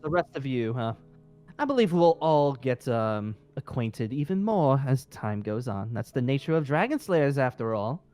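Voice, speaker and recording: A man acts out a haughty wizard who runs the room with formal, theatrical flourishes. His pitch swoops around as he turns sarcastic or courtly. It is improvised fantasy game roleplay, with faint music underneath. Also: animated, moderate pace, a little mellow, close to an everyday voice.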